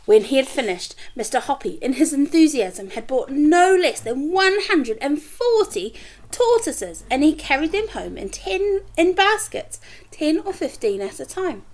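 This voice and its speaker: A woman's voice: high voice, a little slow. A woman reading a children's story aloud like a teacher, expressively, with chatty asides to her young listeners. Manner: animated, acts out dialogue, chatty asides.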